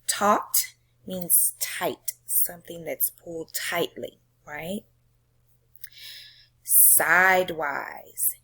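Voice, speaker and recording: A woman's voice: lowish voice, sharp tone, breathy edge. A woman teacher explaining a poem to her students, often checking in with "okay?" and "right?". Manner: reads the poem slowly with drawn-out emphasis. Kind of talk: poetry reading and classroom lesson.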